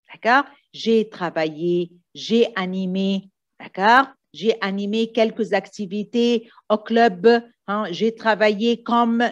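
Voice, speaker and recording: A woman's voice: medium pitch, lo-fi sound. A woman teaching a language lesson in a didactic way, repeating key phrases and often checking understanding. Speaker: French teacher. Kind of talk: exam coaching lesson, switching between two languages.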